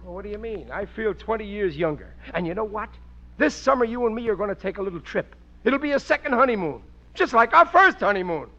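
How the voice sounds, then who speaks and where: A bumbling working-class American husband in an old radio sitcom, high for a man and very sharp-voiced. His delivery is broad, blustery and animated, on a thin vintage recording. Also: fairly quick, lo-fi sound, exaggerated voice. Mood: boastful, eager. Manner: broad, hammy, colloquial delivery. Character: bumbling, eager working-class husband fancying himself a Romeo. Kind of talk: 1940s radio situation comedy acting.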